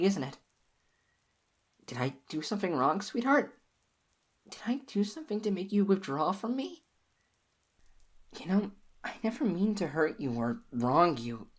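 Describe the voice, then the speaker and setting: A woman voicing an obsessive, clingy young lover in an audio roleplay, speaking softly and pleadingly in a husky, whispery voice to the listener. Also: lowish voice, big pitch swings, normal speed, long pauses. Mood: anxious pleading.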